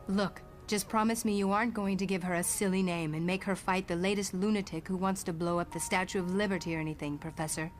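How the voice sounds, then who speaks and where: A woman's voice: lowish voice, steady tone, fast talker, hardly any pauses, faint music behind, natural voice. An actress playing a protective, no-nonsense mother in a motion comic, speaking in an earnest, firm way. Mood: worried, firm. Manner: earnest, firm, sometimes sarcastic. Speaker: voice actor.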